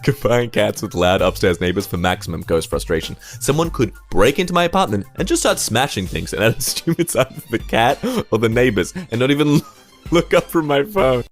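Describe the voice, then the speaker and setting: A man's voice: medium pitch, lively intonation, fairly quick, soft background music. A man doing scripted comedic narration of fantasy skits in a wry, theatrical way.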